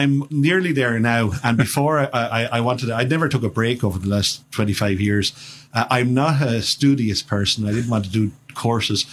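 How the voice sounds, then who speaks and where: A man's voice: mid-range voice, fairly bright tone, slight background noise. A man in his fifties with an Irish accent, a priest speaking as a radio guest, rambling with many restarts and fillers. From Ireland. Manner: chatty, halting with many restarts.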